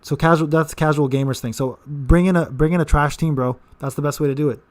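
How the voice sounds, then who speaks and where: Rambling man in his thirties, slightly high voice. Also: fairly even intonation, a little fast. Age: 30s. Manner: rambling, repeats words, frequent fillers. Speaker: gaming channel host. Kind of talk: casual live-stream Q&A.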